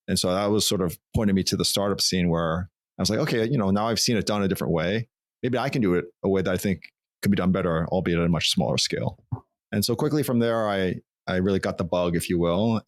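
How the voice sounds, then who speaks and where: A man in his forties with a New York accent, a guest recounting his career in a thoughtful, measured way in an office interview. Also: deep voice, no echo. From Long Island, New York, US. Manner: thoughtful, measured, occasional restarts. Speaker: guest, former CFO. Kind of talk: conversational interview.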